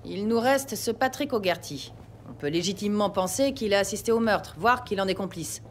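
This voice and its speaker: A woman's voice: mid-range voice, animated, moderate pace, slight background noise, close to an everyday voice. An actress playing a formal, shrewd woman police chief in an audio drama, speaking with cool authority. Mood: decisive. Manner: formal, commanding. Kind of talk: dramatic audio series acting.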